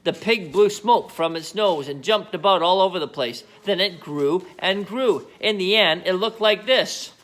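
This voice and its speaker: A man reading a children's book aloud as an expressive narrator. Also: high voice, a little slow, few pauses. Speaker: storybook reader.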